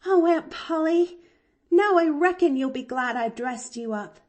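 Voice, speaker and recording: Woman voicing an eager, high-pitched young girl. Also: sing-song, slow pace, dark tone, exaggerated voice. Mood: excited. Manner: gushing, breathless exclamations and pleading. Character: eager, earnest young girl, sweet and excitable. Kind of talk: audiobook character voice.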